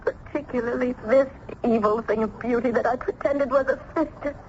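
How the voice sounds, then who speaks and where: A woman acts out a lonely, anguished young daughter in a vintage radio play. Her high voice is earnest and pleading, with melodramatic sorrow. The recording is slightly dull with faint noise. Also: fairly even intonation, moderate pace, a little mellow, lightly acted. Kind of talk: old-time radio drama acting.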